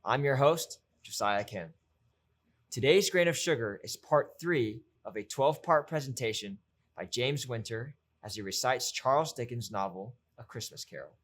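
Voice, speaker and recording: A man's voice: medium pitch, expressive, normal speed, fairly bright tone. A male host with a mid-range voice presents a show. He reads a scripted introduction, addressing the listeners directly.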